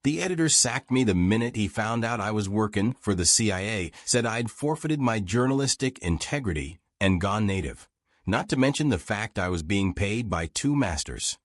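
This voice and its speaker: A man's voice: on the low side, lively intonation, normal speed, dry recording, studio quality. A man voicing a frank, wry old American war reporter reminiscing in folksy, self-deprecating audiobook dialogue. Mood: rueful.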